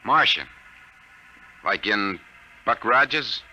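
An actor playing a skeptical hard-boiled private detective, slangy, sardonic and brusque, in 1950s radio style. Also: male voice, lowish voice, expressive, takes it slowly, pushed and bright, exaggerated voice. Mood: incredulous, irritated. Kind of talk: 1950s radio drama acting.

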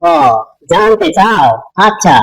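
A man playing an instructive village holy man in a cartoon, explaining things deliberately in a Bangladeshi Bengali dialect. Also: high-pitched, a bit bright, exaggerated voice, low-quality recording. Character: visiting village holy man, elder Matua preacher, patient teacher.

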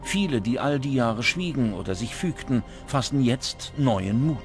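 Male documentary narrator, scripted delivery, medium pitch, music underneath. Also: expressive, a little slow. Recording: music bed behind the voice.

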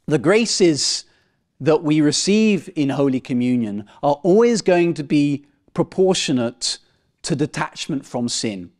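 A man's voice: fairly high pitch, a little mellow. A man in his thirties preaching a sermon as a priest, earnest and emphatic, repeating key phrases, speaking into a lectern microphone in a church. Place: parish church, indoors. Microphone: lectern microphone at chest height.

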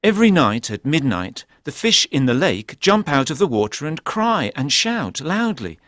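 A man voicing a cunning storybook king who poses as a weary lover, complaining in drawn-out phrases. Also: on the high side, sing-song, fairly bright tone, no echo, clean studio sound, pitched above the performer's own voice. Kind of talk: storybook character voice.

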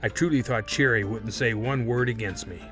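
A man's voice: medium pitch, normal speed, over music, lightly acted. A man playing a guarded, contemptuous murder suspect in an audio drama, speaking formally and haughtily. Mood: resigned, bitter.

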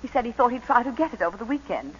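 An actress playing a composed planter's wife recounting a shooting, in 1940s radio acting. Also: female voice, a little high, big pitch swings, rapid-fire, slight background noise. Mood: measured. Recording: muffled 1940s radio broadcast.

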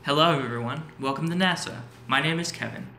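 Guy acting as polite nasa guide in an audio drama, bright forceful voice, echoey room, bit of noise. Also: on the high side, a little fast. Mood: formal, polite. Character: male NASA employee giving a polite formal presentation. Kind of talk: scripted presentation. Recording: roomy echo, faint background noise.

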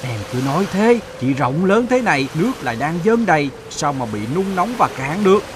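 A man's voice: fairly high pitch, big pitch swings, brisk, background noise. A man voicing a vain elder-sister lake in a cartoon fable, with a theatrical delivery. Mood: haughty. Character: vain, haughty elder-sister lake, a female water spirit.

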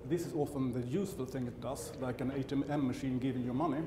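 Swedish man giving a halting tech talk. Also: mid-range voice. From Sweden. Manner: halting, self-correcting, dry asides. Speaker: conference speaker. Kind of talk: technical conference talk. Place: large conference hall. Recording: roomy hall sound with background hum.

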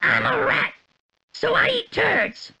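A silly little cartoon rat for a video game. The high voice leaps all over the place and is very sharp and bright, gleefully proud of being gross. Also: very animated, slow pace, creaky, heavily acted. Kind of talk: exaggerated video game character lines.

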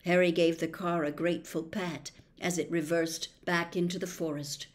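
An older woman narrating a story expressively, in a dramatic, deliberate manner. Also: lowish voice, level tone. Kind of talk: expressive audiobook-style narration. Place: small bedroom, indoors.

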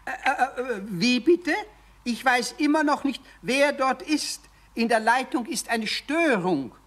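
A pompous, irritable office boss in a children's radio play. His delivery swings widely in pitch as he blusters, stammers and scolds. There is faint noise in the recording. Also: expressive, a little slow, a bit bright, exaggerated voice. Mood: indignant. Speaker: voice actor.

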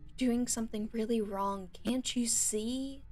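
A woman playing a frightened but defiant kidnapped young captive in an audio roleplay, pleading in halting phrases. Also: on the high side, steady tone, a little mellow, close to an everyday voice. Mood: pleading, reproachful.

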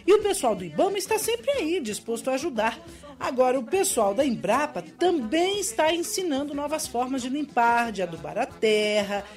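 A woman's voice: high voice, big pitch swings, moderate pace, faint music behind. An actress playing an outspoken young Brazilian village woman in a radio soap, chatty and emphatic. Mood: indignant, emphatic. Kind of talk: radio soap opera acting.

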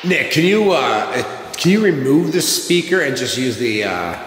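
Brief: relaxed paranormal investigator with a mid-range voice, bantering in a long-reverb church. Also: male voice, mid-range voice, unhurried. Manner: easygoing, joking, with fillers. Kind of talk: casual chat with teammates. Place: large empty church hall. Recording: echoey church, noise.

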